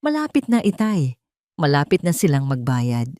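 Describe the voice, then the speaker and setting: A woman's voice: deep voice, moderate pace, no echo, clean studio sound. Woman acting a resolute, dignified wronged wife. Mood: vengeful resolve. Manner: steady, resolute. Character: wronged wife, dignified, quietly firm, vengeful.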